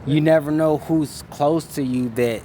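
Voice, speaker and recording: A man in his twenties being interviewed, talking in a laid-back, casual way and often trailing off softly. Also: fairly high pitch, fairly even intonation, measured pace, a little hiss or hum. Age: 20s.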